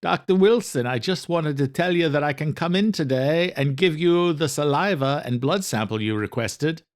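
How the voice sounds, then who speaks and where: An eager young man in an audio thriller, acted out by a male narrator, pitched high and a bit breathless. He is anxious and very polite, pushing keenly to please. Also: animated, moderate pace, close to an everyday voice.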